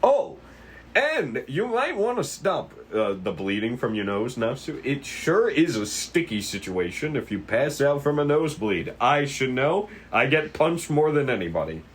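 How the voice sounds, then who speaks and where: Man playing a slow, polite, bumbling detective. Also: mid-range voice, very animated. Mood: apologetic. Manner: courteous, rambling, awkward. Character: polite, bumbling, apologetic older male detective. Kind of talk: amateur script table read.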